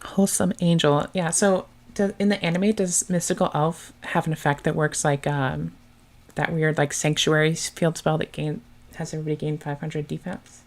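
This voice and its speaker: Low-voiced woman with a soothing, chatty manner, co-hosting a casual game stream. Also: fairly even intonation, normal speed. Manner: soft, chatty, laughs often. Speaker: stream commentator.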